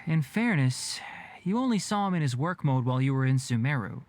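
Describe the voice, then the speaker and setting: Actor as thoughtful young game scholar, lively melodic delivery. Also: male voice, mid-range voice, slight background noise, natural voice. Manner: measured, analytical. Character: scholarly young man, reasoning aloud. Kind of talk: game voice acting.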